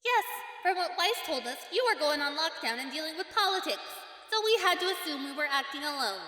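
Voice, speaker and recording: A woman's voice: high-pitched, big pitch swings, a little fast, pushed and bright, exaggerated voice. A woman voicing a young cartoon heroine's chatty, wry inner thoughts, wary and sarcastic. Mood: wary, skeptical. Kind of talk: character voice-over commentary on cartoon clips. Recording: echoey hall reverb, music behind.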